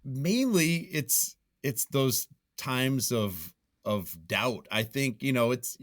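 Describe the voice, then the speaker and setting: A man giving casual, reflective interview answers, thinking aloud in a halting way and circling back to repeat his phrases. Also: mid-range voice, expressive, no echo, clean studio sound. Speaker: podcast guest, nonfiction writer.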